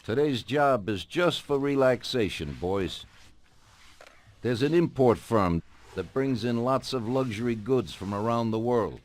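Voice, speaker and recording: An actor playing a genial but domineering older mafia boss in a video game, with an expansive, patronizing manner. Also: male voice, medium pitch, expressive, unhurried, close to an everyday voice. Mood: relaxed, persuasive. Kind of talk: video game cutscene acting.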